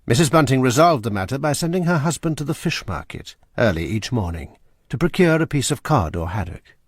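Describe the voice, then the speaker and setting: A man narrating a radio drama in a measured, dramatic storytelling manner. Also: mid-range voice, lively intonation, no echo, studio quality. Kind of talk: scripted narration.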